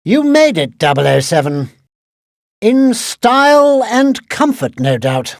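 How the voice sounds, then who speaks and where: A man's voice: high-pitched, very animated, slow pace, dry recording, studio quality, exaggerated voice. A man with a British accent playing a proud, wry spy gadget quartermaster, giving formal, precise mission briefings in a video game.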